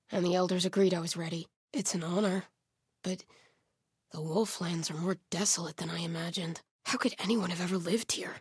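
A woman's voice: on the low side, breathy edge, no room sound, studio quality. An actress playing a grim, devout female cult soldier in a video game, with a stern, zealous manner. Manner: solemn, stern, swinging from hushed muttering to shouted alarms. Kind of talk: video game combat voice lines.

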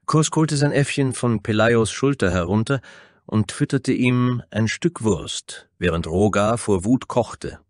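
Deep-voiced male fantasy narrator. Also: a little slow, no room sound, studio quality. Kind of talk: scripted dramatic fantasy narration.

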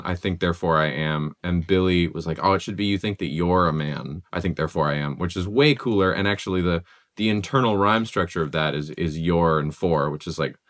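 A young man with a deep, low voice talks a listener through how a song was produced. He keeps it conversational and loose, full of "like" fillers. The sound is dry and close, with no room echo. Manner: relaxed, conversational, many "like" fillers. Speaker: music producer. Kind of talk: explaining a song's production. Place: home music studio with guitars and keyboards.

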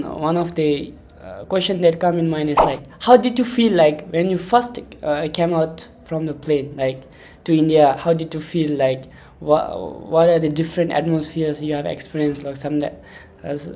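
Hesitant young man hosting, mellow voice. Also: fairly high pitch, normal speed. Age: 20s. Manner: hesitant, wordy, repeats himself. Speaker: podcast host, interviewer. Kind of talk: casual interview, halting questions.

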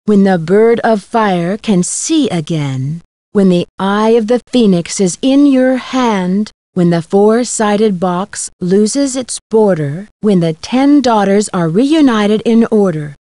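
A woman's voice: mid-range voice, warm tone, no echo, clean studio sound. An actress playing a curious, upbeat young woman detective in a video game, with an inquisitive, scripted delivery. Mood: slow, solemn reading. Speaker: game protagonist.

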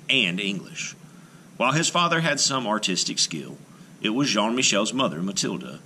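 A man with a low, bright voice reads a children's book aloud like a teacher. His phrasing is measured and expressive, with deliberate pauses and plenty of rise and fall. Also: slight background noise. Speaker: art teacher reading aloud. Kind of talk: read-aloud narration of a children's biography book.

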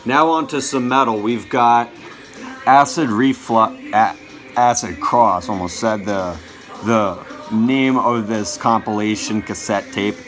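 A young man giving a casual show-and-tell to camera, halting and often correcting himself. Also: on the low side, a bit bright, soft background music. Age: young adult. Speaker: vlogger showing a music collection. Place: small bedroom, indoors.

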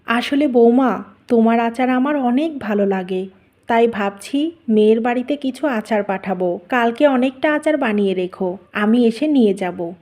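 A woman acts as an older mother-in-law in a cartoon tale. Her voice is fairly high, and she speaks as an appreciative family elder. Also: moderate pace, darkish tone, lightly acted. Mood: praising. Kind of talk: Bengali cartoon story dubbing.

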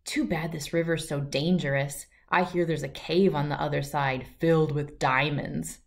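A woman's voice: low-pitched, fairly even intonation, normal speed, gravelly, voice pushed down. A woman voicing a boastful, dreamy, lazy male gnu in a children's storybook, with a dismissive air. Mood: awed.

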